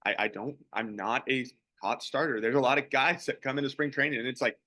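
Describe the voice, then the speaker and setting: A young man who plays pro baseball, co-hosting a podcast and chatting in a relaxed, rambling way. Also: medium pitch, a little fast, dry recording. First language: English. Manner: relaxed, rambling, many restarts. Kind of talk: casual podcast chat.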